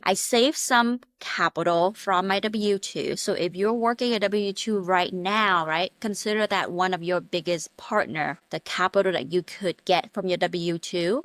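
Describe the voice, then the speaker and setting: A woman's voice: mid-range voice, fairly bright tone, no echo. A woman from Vietnam, in her thirties, earnestly telling her real estate story as a podcast guest. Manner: earnest, repetitive phrasing, upbeat. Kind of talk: casual interview storytelling.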